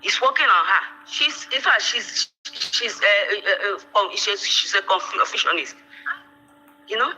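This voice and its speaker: A woman calling in by phone, speaking haltingly with many restarts and an indignant tone. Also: mid-range voice, lively intonation, background noise. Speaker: caller. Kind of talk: phone-in comment. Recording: tinny phone line.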